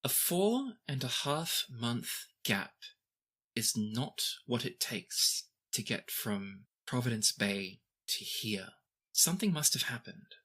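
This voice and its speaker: A man voicing a gracious, formal princess in a tabletop game, speaking with measured, courtly diction. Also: medium pitch, very animated, bright, forceful tone, breathy edge, exaggerated voice. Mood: formal, inquiring. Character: formal, gracious young royal princess, concerned for her brother.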